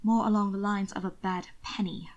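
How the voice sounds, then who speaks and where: A woman's voice: medium pitch, fairly even intonation, moderate pace, a little mellow, highs cut off, close to an everyday voice. A woman voicing a mild, dignified young man in an audiobook story, bland and stiffly formal. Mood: dryly mild.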